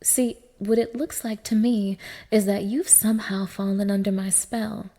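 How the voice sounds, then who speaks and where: A woman's voice: mid-range voice, fairly even intonation, moderate pace, mellow, close to an everyday voice. A woman playing a cruel, scornful dominatrix in an intimate ASMR-style audio roleplay, talking down to her listener with open contempt. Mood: cold, mocking. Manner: sneering, scornful, swearing, swinging from breathy murmurs to raised snaps. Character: cruel, contemptuous young dominatrix belittling a submissive man.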